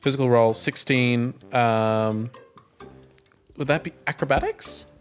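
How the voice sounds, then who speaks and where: An excitable man improvises as a player in a comic tabletop game. He talks casually in a middling pitch, thinking aloud in halting bursts, with faint music behind. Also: clean tone.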